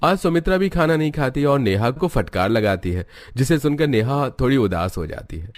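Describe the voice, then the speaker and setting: A man's voice: medium pitch, expressive, moderate pace. A man narrating a scripted story as a storyteller. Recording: music under the narration.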